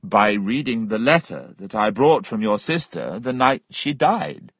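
A deferential storybook lawyer, voiced by a male narrator. He sounds unruffled and polite, with lively intonation and a very dry recorded sound. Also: fairly low pitch, measured pace, husky, no room sound, full character voice. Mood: matter-of-fact.

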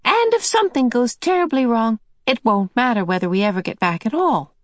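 A woman's voice: on the high side, expressive, dry recording, clean studio sound, pitched above the performer's own voice, natural voice. A woman voicing a cheerful, motherly old otherworldly female being who soothes and fusses. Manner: bright and soothing, brisk.

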